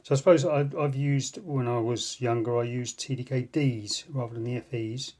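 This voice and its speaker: A man's voice: mid-range voice, steady tone, moderate pace, warm tone, slight background noise. A man hosting an unboxing video with casual, unscripted commentary and dry, self-deprecating asides. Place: indoors at a tabletop. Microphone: phone filming the tabletop.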